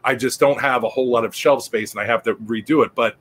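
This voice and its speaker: Male co-host, bright forceful tone, stammering, crude humor, casual chat. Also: mid-range voice, fairly even intonation, fast talker. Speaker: podcast co-host.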